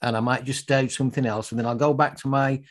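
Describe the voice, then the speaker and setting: A man's voice: mid-range voice, fairly even intonation, fast talker. An older man hosting a podcast interview, chatty and anecdotal, often restarting and repeating words. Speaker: podcast host.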